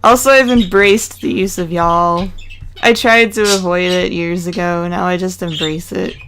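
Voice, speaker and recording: A woman with a Southern US accent chats casually with her live stream viewers while she draws. She speaks slowly and easily, greeting people by name and laughing often. Her desktop mic picks up a clear layer of noise. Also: on the low side. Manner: relaxed, chatty, laughs often, talks to chat by name. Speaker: artist live streaming, reading chat. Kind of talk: casual chat while drawing.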